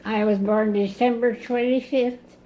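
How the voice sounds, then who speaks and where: A woman in her nineties from Indiana, recalling her family slowly in an oral history interview at home. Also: on the high side, fairly even intonation, warm tone. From Indiana, USA. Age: 90s. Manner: chatty, recalls slowly with pauses. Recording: dull lo-fi 1980s cassette tape, faint hiss.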